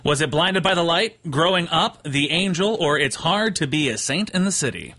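A man co-hosts a radio show, reading trivia playfully. His voice is bright and fairly high, with a lively lilt. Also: moderate pace. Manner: playful, reads trivia with a mock sexy voice. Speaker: radio co-host.

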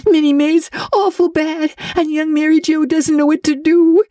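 A woman playing a frightened little girl, tearful and shaky as she pleads. The voice climbs very high and wavers. It is recorded close and dry. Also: fairly even intonation, moderate pace, mellow, dry recording, natural voice.

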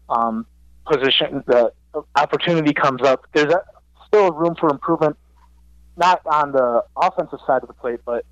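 A man's voice: medium pitch, dry recording. A young man, a student sports writer, giving rambling interview answers that correct themselves as he goes. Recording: dry, dull lo-fi line.

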